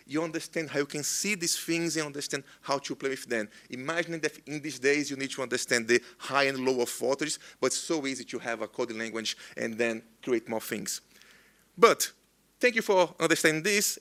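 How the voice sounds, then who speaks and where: Young Brazilian-accented male conference speaker, a bit high-pitched, forceful, eager and loose in his phrasing. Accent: Brazilian Portuguese. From northeast Brazil. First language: Portuguese. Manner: enthusiastic, loose phrasing with frequent restarts. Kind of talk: technical conference talk.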